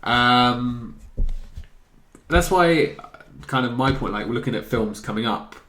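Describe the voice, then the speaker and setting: An echoey recording of a man in a casual podcast discussion. His pitch is average for a man, and his phrasing is informal and a little hesitant as he puts his opinions together. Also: animated, slow, fairly bright tone. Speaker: podcast co-host. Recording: echoey room.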